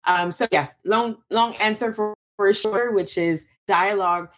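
A woman's voice: medium pitch, unhurried. A woman from Cameroon, a guest in an interview, answering thoughtfully with frequent restarts and fillers.

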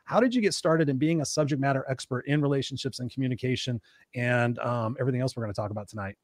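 A man's voice: mid-range voice, fast talker, dry recording, studio quality. A man hosting a live online talk show, rambling and upbeat with many fillers. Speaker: host.

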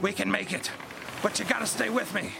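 An actor playing a tender, desperate fatherly officer in a seafaring audio drama, with a gravelly voice. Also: high voice, steady tone, brisk, over music. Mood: tender, urgent. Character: tender, desperate older male officer comforting dying boy.

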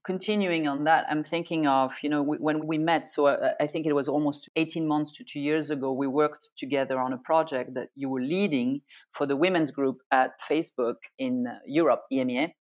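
A woman's voice: deep voice, steady tone, brisk, few pauses. Woman interviewer with long, winding questions.

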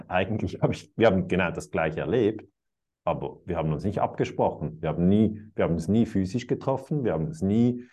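A man's voice: sits low, expressive, a little fast, mellow. A man in his fifties talking reflectively in conversation, musing aloud and chuckling now and then. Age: 50s.

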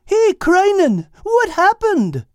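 A nervous, cowardly animal fellow in a children's story, put on by a woman. The voice shoots up very high and comes out loud and jittery. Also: huge pitch swings, slow, no room sound, clean studio sound, exaggerated voice. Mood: anxious. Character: nervous, cowardly, whimpering male cartoonish animal.

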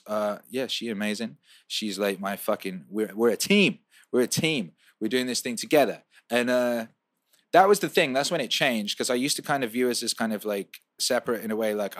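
Laid-back low-voiced man from the UK. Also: a bit bright, dry recording, studio quality. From UK, grew up in Wales. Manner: loose and profane, riffs and repeats himself. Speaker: livestream host answering viewer questions. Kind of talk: casual livestream chat.

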